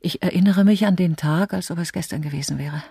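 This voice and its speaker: A woman's voice: lowish voice, a little fast, vocal fry, dark tone, husky, full character voice. An actress playing a wistful, passionate woman confiding memories of a past love in a radio drama. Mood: wistful, regretful. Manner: confiding, reflective.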